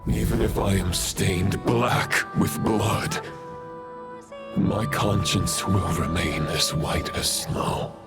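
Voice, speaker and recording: A tragic dark knight from a game, deep and slow. He sounds grave, brooding and fatalistic, with loud music swelling around the voice. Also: vocal fry, exaggerated voice. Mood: grim resolve. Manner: solemn, grave. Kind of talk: dramatic video game acting.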